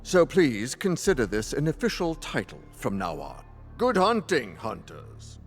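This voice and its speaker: An authoritative council leader, gives formal orders in a fantasy game. His mid-pitched voice moves widely up and down over quiet music. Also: wildly swooping pitch, measured pace. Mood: stately. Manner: formal, commanding. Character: authoritative male council leader of dragon hunters. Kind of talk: video game cutscene acting.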